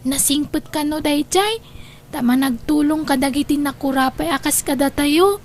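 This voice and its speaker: A woman's voice: sits high, moderate pace, darkish tone, a little hiss or hum, lightly acted. A woman voicing a poor, dutiful elder sister, a young girl who speaks earnestly and respectfully in an Ilocano audio drama.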